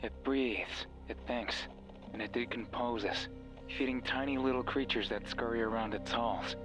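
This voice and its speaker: A man voicing a mysterious, manipulative horror game figure who speaks like a menacing false friend, taunting and deliberate. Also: mid-range voice, fairly even intonation, close to an everyday voice, rough recording. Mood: ominous. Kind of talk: dramatic horror game voice acting. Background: background music.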